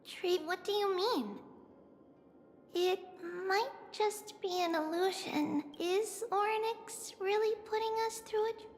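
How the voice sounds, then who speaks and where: A woman voicing a small, excitable, childlike girl in a video game, exclamatory and referring to herself by name. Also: very high-pitched, slow pace, big-room sound, a little hiss or hum. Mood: puzzled, unsure. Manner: refers to self in third person, exclamatory. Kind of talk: video game character acting.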